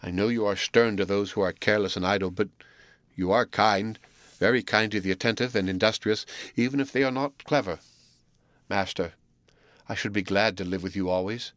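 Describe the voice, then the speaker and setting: A man reading aloud voices a shy, earnest young woman pupil, speaking softly and slowly in a raised tone. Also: mid-range voice, no echo, voice pushed up, natural voice. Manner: soft, subdued and slow.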